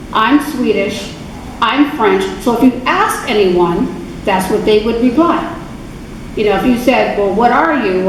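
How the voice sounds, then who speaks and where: A woman giving an informal history talk to an adult church class, unhurried, with many fillers and self-corrections. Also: on the high side. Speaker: guest speaker at adult faith class. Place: church meeting room. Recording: very echoey, distant, noisy room recording.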